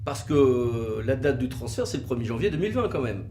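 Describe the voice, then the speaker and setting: A man presents a legal explainer in a lively, varied voice. He is didactic, leaning on key words and asking rhetorical questions. The sound is roomy and echoey. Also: medium pitch, brisk, noisy background. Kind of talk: explanatory legal video talk, semi-scripted.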